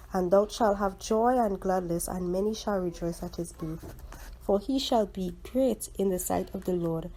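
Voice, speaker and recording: Mellow-voiced woman reading scripture. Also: medium pitch, low-quality recording. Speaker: Bible story reader. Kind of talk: scripture reading in archaic English.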